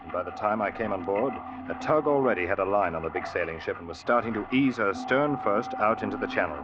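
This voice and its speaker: A man's voice: lowish voice, lively intonation, fast, noisy background, lightly acted. Man as earnest seaman narrator, vintage radio. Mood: reflective. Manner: earnest, intense storytelling. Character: young seaman telling his grim sea story. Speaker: actor narrating a radio drama. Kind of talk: old-time radio dramatic narration. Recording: dull vintage radio recording. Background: loud dramatic music.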